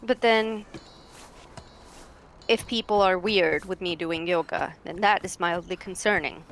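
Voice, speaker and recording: A woman with a calming voice, chatting casually and softly as a co-streamer on a game stream. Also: lowish voice, unhurried, slight background noise.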